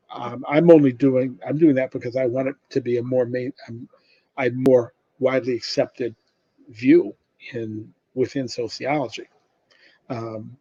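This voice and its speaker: An older man speaking as a professor taking questions after a presentation, explaining informally and haltingly with many repeated starts. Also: medium pitch, mellow, husky, dry recording. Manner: halting, with frequent repeated starts and self-corrections. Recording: muffled, dull lo-fi audio.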